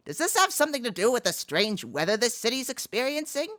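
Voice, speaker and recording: An inquisitive, no-nonsense cartoon duck, played by a woman in a live comic dub. The voice is bright and forceful with very animated pitch, pointed in its questions. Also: a little fast. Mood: brisk.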